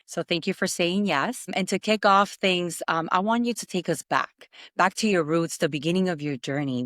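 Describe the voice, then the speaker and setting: A woman's voice: low voice, fairly bright tone, no room sound. Woman podcast host, dry close mic. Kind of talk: hosting interview questions.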